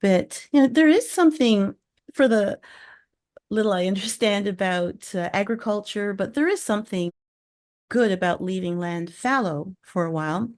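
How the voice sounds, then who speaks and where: A middle-aged woman interviewing as a podcast host, with measured, reflective and appreciative phrasing. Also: medium pitch, lively intonation, a little mellow. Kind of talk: conversational interview. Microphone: studio microphone with pop filter, video call.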